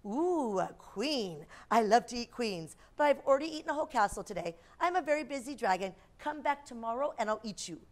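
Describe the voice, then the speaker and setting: A woman's voice: fairly high pitch, animated, brisk, hardly any pauses, lightly acted. A woman voicing a boastful, busy male dragon while reading a storybook aloud.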